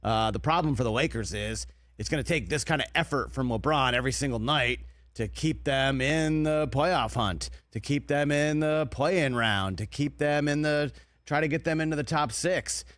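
A man's voice: on the high side, normal speed, a bit bright, no echo. A man co-hosts a sports talk show from a TV studio desk. He speaks in a conversational way, often restarting his words. His voice is close and dry. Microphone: desk broadcast mic.

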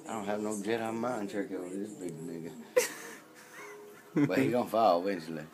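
A drunk young man joking and rambling rowdily while filming a late-night party in a small home bar room, swinging between shouts and mumbles. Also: lowish voice, fairly quick, faint music behind. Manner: rowdy, slurring, joking, often shouting or mumbling.

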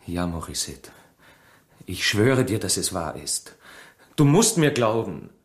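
An actor playing a hot-tempered, defiant village husband who shields his wife, gruff and curt, in a German radio crime drama. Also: deep voice, sing-song, slow, exaggerated voice. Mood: pleading.

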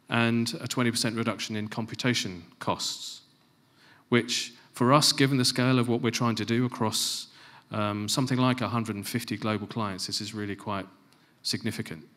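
A middle-aged man giving a conference presentation on a large stage into a handheld mic, stressing key phrases and dropping to quieter asides. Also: mid-range voice, fairly even intonation, fairly quick. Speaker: conference speaker. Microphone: handheld microphone.

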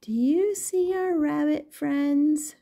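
Slow-paced older woman reading to children. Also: sits high, creaky. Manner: expressive, emphatic, repeats phrases for little listeners. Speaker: grandmother reading picture books to children. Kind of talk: read-aloud storytelling for young children, with interactive questions.

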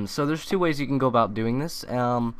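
A young man talking through a software tutorial in a loose, rambling way, full of ums and uhs. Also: mid-range voice, normal speed. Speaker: tutorial maker. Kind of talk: casual screen-recorded tutorial.

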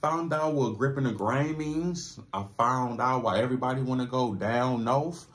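A man's voice: mid-range voice, normal speed. A man in his thirties with a Californian accent, giving casual reaction commentary in a slangy, profane way. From Long Beach, California. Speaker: reaction video host. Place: small room with foam-panelled walls.

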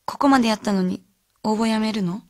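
Radio drama role: emotional, loyal schoolgirl played by a woman, high insistent voice, dry sound. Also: brisk, darkish tone, no echo, natural voice. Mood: upset, insistent.

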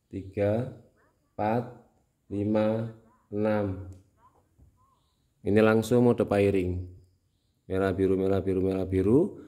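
A man's voice: on the low side, mellow. A man with a Javanese Indonesian accent, giving a casual how-to demonstration as a tutorial host. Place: indoors at home, small room.

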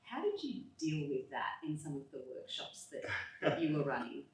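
A woman's voice: sits low, very animated, normal speed. A woman in the audience politely asks a question from the floor, hesitating with filler words. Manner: polite, hesitant with many ums. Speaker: audience member asking a question. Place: university seminar room. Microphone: handheld mic. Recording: very echoey room from far off.

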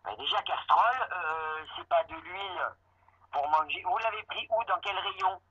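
Woman, store employee, insistent, exasperated, sharp gravelly voice, phone call. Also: fairly low pitch, lively intonation, a little slow. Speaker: supermarket employee. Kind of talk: customer service phone call. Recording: dull phone line with noise.

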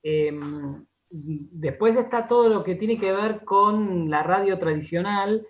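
Argentine guy getting interviewed, voice a bit high, keeps restarting phrases, kinda muffled sound. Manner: halting, circles back and repeats words as he explains. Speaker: interview guest, radio drama director and writer. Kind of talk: radio interview, conversational.

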